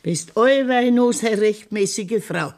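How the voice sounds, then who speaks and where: A woman's voice: mid-range voice, slow, darkish tone. An older actress with a Bavarian accent playing a worried, protective grandmother in a 1970s radio play, with a pleading manner.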